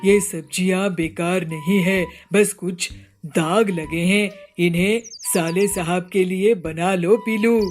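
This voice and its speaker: A woman's voice: fairly low pitch, steady tone, slow pace, a little mellow, faint music behind. A woman voicing a stingy, scheming male cartoon bird who talks in a bossy, self-satisfied, wheedling way. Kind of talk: cartoon voice acting.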